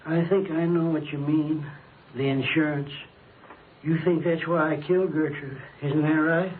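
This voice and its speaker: A man acting an anxious old husband held as a murder suspect in an old-time radio drama, plaintive and halting. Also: fairly high pitch, steady tone, natural voice. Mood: pleading. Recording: tinny, noisy vintage radio recording.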